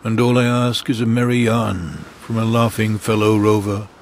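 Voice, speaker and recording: Deep-voiced man reciting poetry. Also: fairly even intonation. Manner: measured, deliberate delivery. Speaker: poetry reader. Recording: clear background ambience noise.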